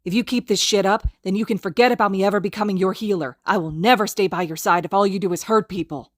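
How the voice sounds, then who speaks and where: A woman voicing a shouting gentle teenage boy. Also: medium pitch, quick pace, no echo, clean studio sound, pitched above the performer's own voice, lightly acted. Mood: angry pleading. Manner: shouting, pleading, tearful defiance. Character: gentle teenage boy healer, pushed to anger.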